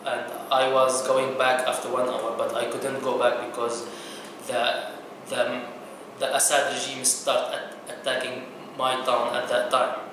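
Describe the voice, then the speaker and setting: A man with a mid-range voice talks plainly and haltingly. He is recorded in a very echoey room with clear background noise. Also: mid-range voice, completely flat delivery. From Syria.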